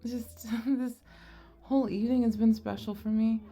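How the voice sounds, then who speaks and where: A woman playing a shy, sweet, nerdy young goblin girl in an ASMR romance roleplay, speaking softly and hesitantly with bashful stammers. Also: fairly high pitch, steady tone, moderate pace, a little hiss or hum, close to an everyday voice. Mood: tender, earnest.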